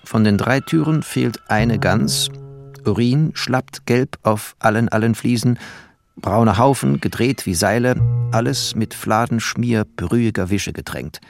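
A man's voice: medium pitch, unhurried, creaky, soft background music. An actor playing a bookish, sardonic resettler who narrates his journey in a radio play with wry, clipped, vivid phrasing. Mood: disgusted. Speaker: narrator and protagonist.

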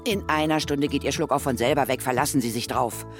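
A woman playing a brisk, impatient older ghost hunter in a children's radio play, commanding and no-nonsense. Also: rumbling low, faint music behind. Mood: brisk, sure.

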